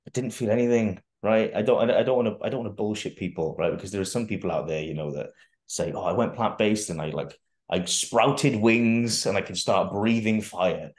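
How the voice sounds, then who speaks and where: Deep-voiced man in an echoey room. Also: a little fast. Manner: rambling, many restarts, casual swearing. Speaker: guest fitness coach. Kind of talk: casual interview chat. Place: bare room at home, indoors.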